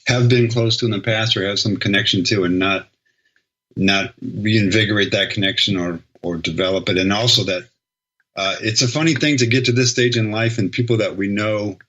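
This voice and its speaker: Husky, low-voiced middle-aged man. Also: steady tone, moderate pace, bright, forceful tone. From Sacramento, California. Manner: rambling, self-correcting storyteller, laughs easily. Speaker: guest musician. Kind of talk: casual conversational interview. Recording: slightly dull, lo-fi sound.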